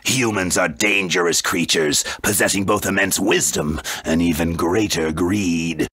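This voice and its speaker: Game arch-villain: rock-bottom pitch, raspy, grandiose, menacing, deliberate. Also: male voice, fairly bright tone, dry recording, heavily acted. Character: grandiose dark male villain bent on wiping out the world. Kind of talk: video game voice acting.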